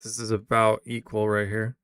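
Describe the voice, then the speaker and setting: A man's voice: on the low side, completely flat delivery, normal speed, a bit bright, clean tone, no room sound, studio quality. A man streaming his reactions to a video in blunt, incredulous casual talk, swearing freely.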